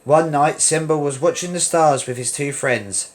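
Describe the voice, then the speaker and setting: A man reading a children's story aloud in an expressive read-along style, with emphatic delivery. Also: fairly high pitch, moderate pace.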